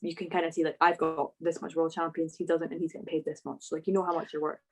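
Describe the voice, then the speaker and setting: A woman's voice: sits low, level tone, fast talker, a little mellow, low-quality recording. A woman chatting informally as a panellist, often dropping "like" into her sentences. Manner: informal, peppered with "like". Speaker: podcast panellist. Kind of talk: casual motorsport panel chat.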